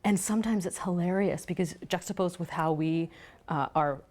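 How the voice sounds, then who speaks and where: A woman's voice: on the low side, normal speed, a little mellow. A woman radio theatre performer chatting casually in an interview.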